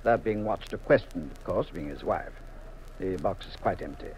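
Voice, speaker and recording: An actor playing a dry, authoritative police inspector in a 1950s radio mystery, speaking matter-of-factly. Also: male voice, sits low, expressive, normal speed, a little mellow. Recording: muffled, noisy vintage radio recording.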